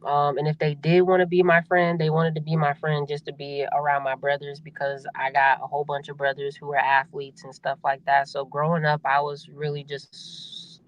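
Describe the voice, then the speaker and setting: A young adult woman with a deep voice, a singer talking casually as a podcast guest, laughing often and repeating herself as she speaks. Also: little pitch movement, normal speed, hardly any pauses, slight background noise, rough recording. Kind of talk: casual interview chat.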